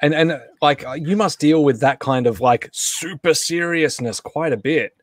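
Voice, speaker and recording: A man's voice: on the high side, unhurried, no echo, clean studio sound. A man hosting a casual livestream chat, talking in a rambling, conversational way. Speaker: host and co-creator. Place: indoor home setup on a group video call.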